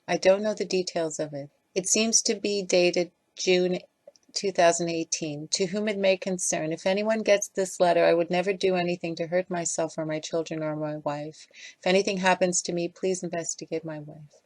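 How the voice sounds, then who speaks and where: A woman's voice: fairly low pitch, steady tone, moderate pace, a little mellow, no echo. A woman reading scripted commentary as a voice-over. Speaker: video creator doing voice-over commentary.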